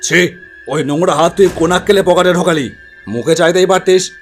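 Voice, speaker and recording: A man's voice: high voice, brisk, soft background music, lightly acted. Know-it-all older uncle in a cartoon, voiced by a man, firm and scolding. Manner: scolding, explaining. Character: older uncle, ghost keeper, bossy and knowing.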